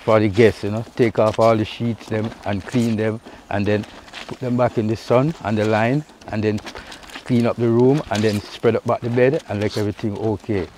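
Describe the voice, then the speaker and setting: Easygoing Jamaican man, low voice, outdoors. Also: steady tone, normal speed, a little mellow, a little hiss or hum. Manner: easygoing, repeats "you know" often. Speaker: vlogger. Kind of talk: casual how-to vlog. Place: outdoors by a river.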